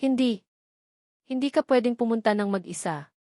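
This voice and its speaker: A woman's voice: a little high, normal speed, dark tone, dry recording, studio quality, natural voice. A woman with a Filipino accent voicing an anxious, protective mother in a dramatic story. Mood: fearful. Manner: worried, pleading. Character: anxious, protective mother afraid for her family.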